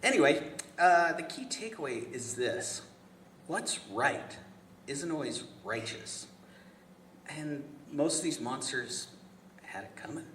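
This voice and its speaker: In a noisy, echoey room, a man acts out an earnest, patient family man at a table read. He is pleasant to a fault, chatty and hesitant, with a lively, swooping voice. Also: a little high, slow pace, long pauses, recorded from a distance. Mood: sheepish, earnest. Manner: hesitant, chatty, apologetic, stammering. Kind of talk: live script table read.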